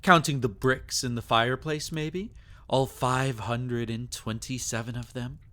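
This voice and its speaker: A man's voice: mid-range voice, fairly even intonation, measured pace, fairly bright tone, close to an everyday voice. A man acting as a sadistic, possessive vampire lord in an intimate ASMR roleplay, teasing and taunting with theatrical, menacing endearments.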